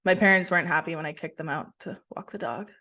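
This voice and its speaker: A young woman co-hosting a casual podcast from her kitchen over a video call, talking in a relaxed, rambling way with many "like"s and restarts. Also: sits low, quick pace. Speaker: podcast co-host. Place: kitchen at home.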